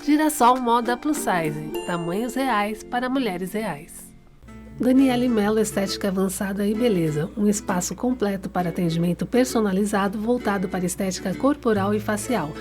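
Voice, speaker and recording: A woman's voice: medium pitch, moderate pace. A woman reading a polished, upbeat commercial voice-over. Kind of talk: scripted commercial read. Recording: music bed behind.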